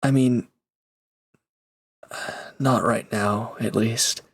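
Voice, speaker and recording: A man acting in an intimate audio drama as a gruff but caring reformed villain, earnest, halting and awkwardly affectionate. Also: medium pitch, fairly even intonation, exaggerated voice. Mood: flustered, torn.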